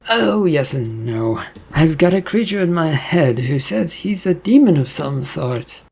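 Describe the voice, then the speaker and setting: A man's voice: on the high side, expressive, unhurried, creaky, darkish tone, husky, heavily acted. A man playing a friendly homeless man in an audiobook story, hesitant, polite and oddly candid.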